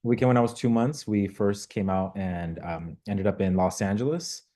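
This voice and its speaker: A young man from Mexico answers interview questions, thoughtful and measured, pausing on small fillers. His voice sits low and deep, with a dark, mellow tone. He sounds like a local official telling his own story. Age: young adult. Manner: measured, reflective, with filler words. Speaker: guest, local elected official.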